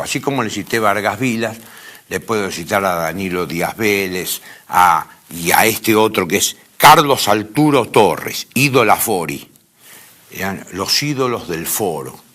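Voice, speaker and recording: A man's voice: sits low, animated, unhurried, bright, forceful tone. A man with an Argentine accent giving an informal academic lecture in an emphatic way, repeating phrases for stress. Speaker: lecturer in philosophy.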